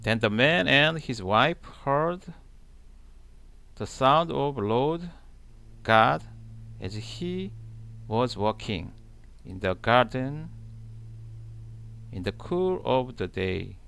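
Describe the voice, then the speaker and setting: A man reading scripture aloud in a deliberate manner, pausing between short, halting phrases. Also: mid-range voice, animated, creaky, fairly bright tone. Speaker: channel host reading the Bible aloud. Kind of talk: slow scripture read-aloud.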